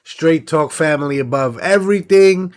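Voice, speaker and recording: A young man with a congested, nasal voice hosting a casual post-game sports stream, giving shout-outs and running through stats with plenty of fillers. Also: on the high side, moderate pace. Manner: hype, shout-outs, stat rundown with fillers. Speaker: sports podcast host.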